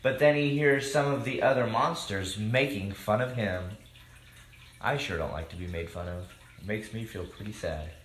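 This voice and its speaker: A man's voice: on the low side, normal speed, a bit bright. A man reading a children's storybook aloud expressively and speaking directly to his young listeners. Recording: echoey room with background noise.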